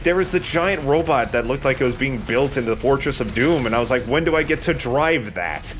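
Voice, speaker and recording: A man's voice: medium pitch, animated, fairly quick, a little hiss or hum. A man giving casual commentary over a video game, talking in a conversational let's play style. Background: video game sound.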